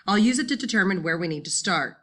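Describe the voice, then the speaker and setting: A woman voicing a brisk but reassuring male middle-school maths teacher in an audiobook, with clipped, instructive delivery. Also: medium pitch, animated, natural voice. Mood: explaining. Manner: instructive, clipped classroom address.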